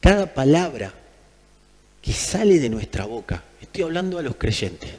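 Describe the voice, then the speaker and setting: A man's voice: mid-range voice, very animated, a little slow, darkish tone. A man with an Argentine accent preaching a sermon in an emphatic manner, repeating phrases for effect. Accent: Argentine Spanish. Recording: long hall reverb.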